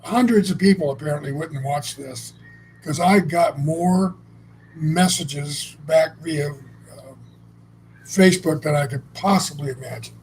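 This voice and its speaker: A man's voice: fairly high pitch, unhurried, a little mellow, a little hiss or hum, rough recording. Blunt, rambling man telling stories. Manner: rambling, blunt, salty, with frequent restarts. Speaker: co-host. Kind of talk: casual conversational storytelling.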